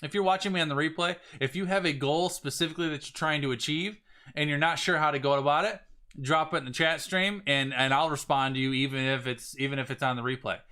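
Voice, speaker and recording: A man, slightly raspy today, coaching viewers on a live stream in an energetic way, often restarting his phrases. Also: fairly high pitch, fast talker. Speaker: business coach.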